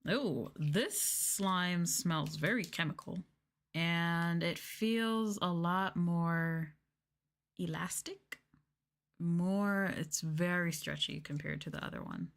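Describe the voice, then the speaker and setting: A soft-spoken woman streaming ASMR, casually chatting to viewers as the host and describing what the hands are doing, close to the microphone. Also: fairly low pitch, slow. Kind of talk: casual ASMR soft talking to live chat. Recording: close mic with clear handling noise. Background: crinkling, clicking and handling noises.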